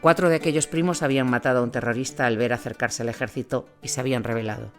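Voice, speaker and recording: A man narrating a scripted audio drama as a war reporter, in a measured, solemn storytelling manner. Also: mid-range voice, fairly even intonation, lightly acted. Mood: grave, measured. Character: male war reporter recounting grim events in Iraq. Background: music bed.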